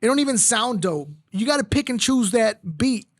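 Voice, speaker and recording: A man's voice: extremely high pitch, animated, moderate pace, no echo, studio quality. A man from Mexico, a native Spanish speaker, hosting a casual chat in a blunt, profane and heated way. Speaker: podcast host.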